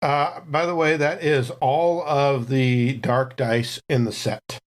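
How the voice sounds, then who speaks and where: A man's voice: mid-range voice, fairly even intonation, takes it slowly. A man talking casually as a tabletop game player, describing what his character does.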